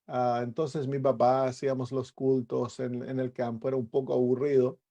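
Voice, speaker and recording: A middle-aged man with a Chilean accent, an evangelist pastor sharing a personal testimony in a conversational storytelling way, with hesitant pauses. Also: medium pitch, flat delivery, vocal fry, warm tone.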